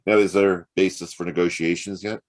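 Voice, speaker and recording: Man playing a very low-voiced hard-boiled detective. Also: fairly even intonation, a little fast, close to an everyday voice. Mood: sardonic, cool. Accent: American. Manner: sardonic, wry, clipped. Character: hard-boiled, wisecracking male private detective, narrating his case. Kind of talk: noir audio drama acting and narration.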